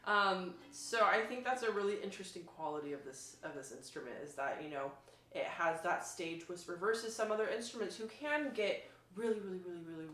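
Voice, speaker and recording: A woman's voice: fairly low pitch, fairly bright tone. A woman violinist giving a casual, enthusiastic and emphatic spoken demonstration, explaining things as she goes. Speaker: violinist reviewing an instrument. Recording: roomy, echoing sound.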